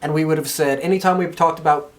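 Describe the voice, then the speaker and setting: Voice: mid-range voice, steady tone, quick pace. Enthusiastic, informal podcast co-host. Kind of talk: casual chat about pro wrestling.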